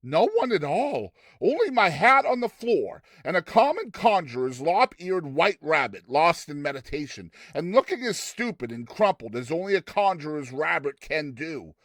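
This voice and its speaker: A man narrating a story in an expressive, dramatized reading style. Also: on the high side, normal speed, few pauses, no echo, studio quality.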